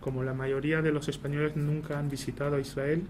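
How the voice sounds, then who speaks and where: A man, a non-native Spanish speaker with an Argentine Spanish accent, gives a prepared public talk, pausing often on "eh" and restarting phrases. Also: a little high, flat delivery. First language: Hebrew. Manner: halting, many "eh" fillers and restarts. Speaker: embassy spokesperson giving a talk. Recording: noisy room recording.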